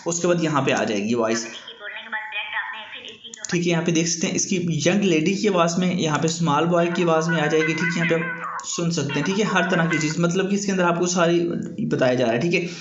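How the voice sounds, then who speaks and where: Male tutorial host, slightly high voice, informal repetitive explaining, recorded in a very echoey room. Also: fairly quick, crowd noise. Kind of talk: informal app tutorial narration. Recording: echoey room, dull muffled sound.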